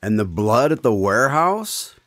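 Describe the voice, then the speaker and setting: A man's voice: mid-range voice, sing-song, normal speed, a bit bright, dry recording. A man hosting a radio drama, delivering scripted questions and announcements. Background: guitar music.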